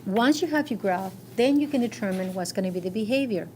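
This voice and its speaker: A woman's voice: medium pitch, expressive, fairly quick, warm tone. A woman teaching a math class, going over quiz answers step by step and checking with short questions. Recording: noisy classroom recording.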